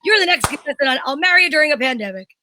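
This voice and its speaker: A woman's voice: sits high, expressive, racing, bright, forceful tone, a little hiss or hum. A woman chatting casually and enthusiastically as co-host of a live online show.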